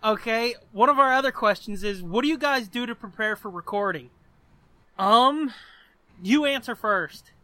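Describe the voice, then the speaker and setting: High-pitched, bright-voiced sweary man. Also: lively intonation, normal speed, dry recording, rough recording. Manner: sweary, joking, blunt. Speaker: podcast co-host. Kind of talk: casual banter, answering listener questions.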